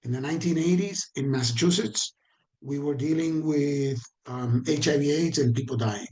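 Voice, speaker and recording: A man's voice: on the high side, normal speed, a little mellow. Caribbean-accented man teaching a training. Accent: Caribbean Spanish. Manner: conversational, asks the audience questions, repeats for emphasis. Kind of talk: online training talk.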